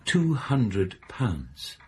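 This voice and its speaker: A man's voice: fairly low pitch, huge pitch swings, drawn-out words, heavy background noise, exaggerated voice. A man playing an apologetic company messenger in a radio drama, speaking with formal, hesitant courtesy. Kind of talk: naturalistic radio drama acting.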